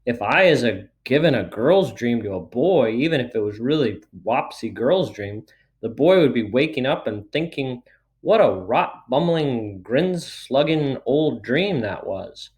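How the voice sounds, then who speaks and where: A man's voice: mid-range voice, lively intonation, unhurried, darkish tone, close to an everyday voice. A man voicing a friendly giant from a storybook, with folksy, muddled grammar. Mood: amiable, emphatic. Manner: folksy, ungrammatical speech. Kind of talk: storybook read aloud.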